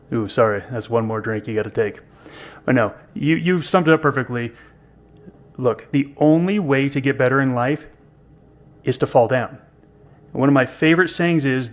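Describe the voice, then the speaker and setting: A man in his fifties co-hosting a podcast, chatty and self-deprecating. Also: mid-range voice. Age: 50s. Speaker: podcast co-host. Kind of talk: casual podcast conversation.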